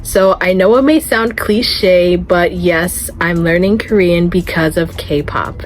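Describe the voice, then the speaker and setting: A woman's voice: fairly low pitch, takes it slowly, a little hiss or hum, rough recording. A woman in her twenties talking casually and earnestly to camera in a vlog, with frequent "like" fillers. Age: 20s. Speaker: vlogger.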